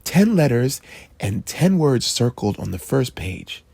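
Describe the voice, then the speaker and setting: A storyteller as a soft-spoken father. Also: medium pitch, very animated, a little slow, creaky, slightly rough, no room sound, exaggerated voice. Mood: explaining. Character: calm, thoughtful father explaining family history. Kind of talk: children's storybook read-aloud.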